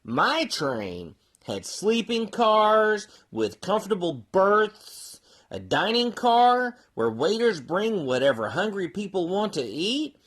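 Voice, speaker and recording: A snooty, boastful storybook train engine acted by a performer. The words are stretched out slowly and pompously, with scornful swings in pitch. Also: a bit bright, exaggerated voice. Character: haughty, boastful shiny new passenger engine. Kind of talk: children's storybook character.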